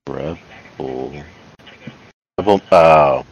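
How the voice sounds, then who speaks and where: A man with a low, deep voice talks as a podcast co-host. His delivery is casual and conversational, like banter between friends. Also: drawn-out words, husky, dull audio. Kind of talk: casual banter about films and trailers.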